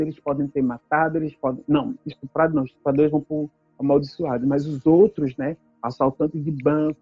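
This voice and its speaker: Husky-voiced woman. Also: deep voice, no room sound, muffled recording. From Brazil. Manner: earnest, emphatic storytelling, tag questions. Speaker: activist speaker. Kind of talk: personal testimony talk.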